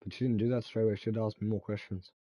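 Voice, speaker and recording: Drunk, swearing young man, mellow voice. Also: on the low side, level tone, rapid-fire, no echo, lo-fi sound. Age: 20s. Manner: rambling, crude and swearing. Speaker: game streamer. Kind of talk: casual chat while gaming.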